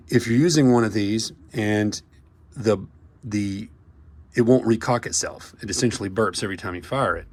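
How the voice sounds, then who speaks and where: A man with a cold, hoarse as he walks through a repair step by step in a casual, halting way with many fillers and restarts. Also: on the low side. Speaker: paintball marker technician. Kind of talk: hands-on repair tutorial.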